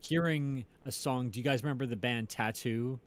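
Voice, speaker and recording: A man co-hosting a casual chat, speaking in a loose, halting, informal way. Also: medium pitch. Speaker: co-host.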